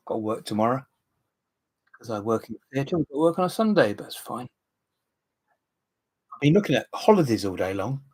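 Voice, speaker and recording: A man in his late fifties hosts a relaxed live stream, rambling from one topic to the next and picking up viewers' comments as they come in. His voice is slightly husky, and the sound is close and dry. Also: medium pitch, no room sound. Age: 50s. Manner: rambling, chatty, reads and answers viewer comments. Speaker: livestream host. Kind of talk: casual live-stream chat.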